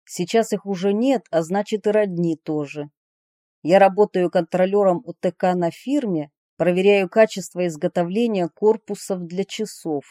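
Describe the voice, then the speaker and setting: A woman reading personal letters aloud in an expressive way. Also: fairly low pitch, moderate pace, dry recording, studio quality.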